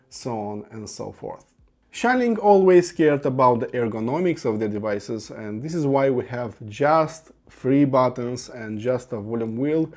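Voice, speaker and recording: A man's voice: medium pitch, darkish tone. Man reviewing audio gear. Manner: detailed, enthusiastic product walkthrough with occasional fillers. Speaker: product reviewer. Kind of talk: scripted tech review.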